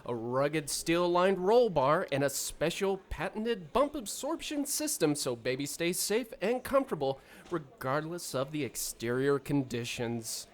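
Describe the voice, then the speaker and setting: A woman's voice: deep voice, animated, measured pace, few pauses. An actress playing a female stroller saleswoman in a radio drama, salesy and easily flustered. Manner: salesy patter turning flustered. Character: female stroller saleswoman, pushy then squeamish.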